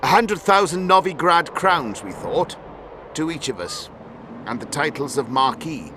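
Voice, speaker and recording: An actor playing an obsequious, greedy middle-aged count in a video game, speaking in a formal, deferential way. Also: male voice, fairly high pitch, very animated, measured pace, background noise. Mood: pompous. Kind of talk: dramatic video game acting.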